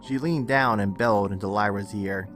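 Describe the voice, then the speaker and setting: A man's voice: fairly low pitch, moderate pace. A man reading scripted dramatic story narration. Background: music under the narration.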